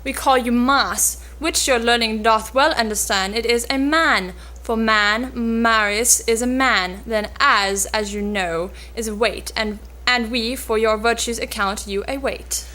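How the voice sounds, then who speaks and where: A woman's voice: on the high side, measured pace, hardly any pauses, bright, forceful tone. A woman playing a cheeky young page boy in an amateur cold reading of an old stage play. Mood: sly. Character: cheeky young page boy mocking a braggart with feigned awe.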